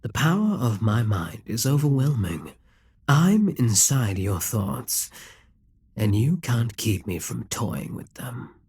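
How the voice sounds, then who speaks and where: A man playing a husky, slow-spoken demon king. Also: mid-range voice, very animated, a little mellow, no echo, clean studio sound, exaggerated voice. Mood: hypnotic. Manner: slow, commanding, coaxing, drops to whispers. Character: possessive scheming male demon king, calm and ruthless. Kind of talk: M4A audio roleplay.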